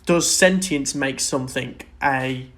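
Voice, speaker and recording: A man's voice: medium pitch, slow pace, fairly bright tone. A man co-hosting a podcast, casually discussing film and TV in an earnest, opinionated way. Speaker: podcast co-host.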